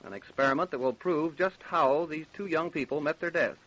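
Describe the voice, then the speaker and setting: An American actor, a man, playing an even-tempered, probing coroner in an old-time radio drama, speaking in a deliberate, official way. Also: a little high, poor audio. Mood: calm, persuasive. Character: probing, even-tempered male small-town coroner investigating deaths.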